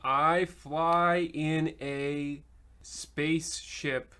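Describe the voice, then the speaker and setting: Oblivious mole monarch played by a man, slightly high, crisp voice, earnest and deliberate, radio comedy. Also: steady tone, pushed and bright, full character voice. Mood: matter-of-fact. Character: mole king visiting by spaceship, earnest, oblivious. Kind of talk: scripted comedy radio play.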